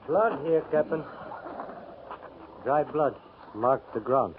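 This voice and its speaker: A man acting as a solemn, mournful scout who speaks plain, halting broken English in a 1950s radio western. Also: mid-range voice, animated. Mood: watchful. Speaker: supporting actor in a radio western. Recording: muffled 1950s radio recording, faint noise.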